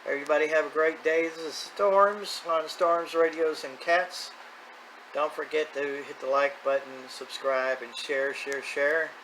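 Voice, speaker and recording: A man's voice: a little high, fairly even intonation, unhurried, fairly bright tone, rough recording. A middle-aged or older man talking in a casual, unscripted, plain-spoken and folksy way as the host of a hobby video. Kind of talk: casual unscripted vlog monologue. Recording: clear background noise, ambient sound.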